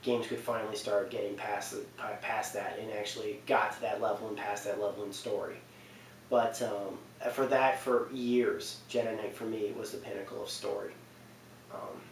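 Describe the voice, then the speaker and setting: A man's voice: on the low side, fairly even intonation, moderate pace. Man rambling about favorite scenes. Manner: enthusiastic but hesitant, many fillers and restarts. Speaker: gaming video creator. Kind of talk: casual gaming commentary. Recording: roomy distant mic with faint hiss.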